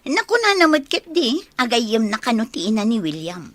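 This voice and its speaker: A woman's voice: on the high side, very animated, normal speed, a bit bright, dry recording. A woman acting as a suspicious, nosy schemer in a radio comedy drama, questioning and finding fault. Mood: suspicious, probing.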